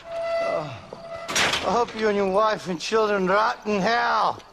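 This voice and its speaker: A man's voice: sits high, wildly swooping pitch, takes it slowly, creaky, over music, full character voice, rough recording. A man playing a cornered, treacherous outlaw with a Mexican accent in a Western video game, wheedling and spiteful. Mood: bitter, spiteful.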